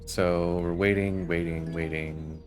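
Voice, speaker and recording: A man's voice: low-pitched, little pitch movement, takes it slowly, faint music behind. A man giving explanatory walkthrough commentary as the host of a gaming video. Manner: explanatory, reads game text aloud.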